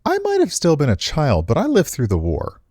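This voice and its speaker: A man's voice: fairly high pitch, wildly swooping pitch, brisk, a little mellow, dry recording, studio quality, voice pushed up. Man voicing an airy, patronising older woman, lilting upward. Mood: nostalgic. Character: older woman, well-meaning but condescending, nostalgic hostess. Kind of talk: visual novel dialogue read aloud.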